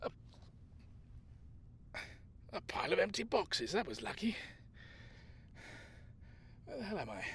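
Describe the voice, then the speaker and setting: A man playing an anxious but determined grandfather on the run in an audio drama, urgent and often dropping into hushed whispers. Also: high-pitched, very animated, measured pace, vocal fry, pauses often, slight background noise, full character voice. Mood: strained, muttering. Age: older.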